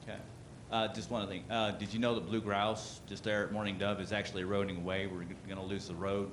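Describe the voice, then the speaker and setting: A man's voice: fairly low pitch, little pitch movement, fast, background noise. A man making a blunt, frustrated public comment at a community meeting.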